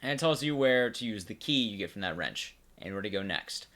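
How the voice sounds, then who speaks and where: A man's voice: fairly low pitch, lively intonation, brisk, a bit bright. A man giving casual playthrough commentary, explaining things informally and talking straight to viewers. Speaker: game commentator.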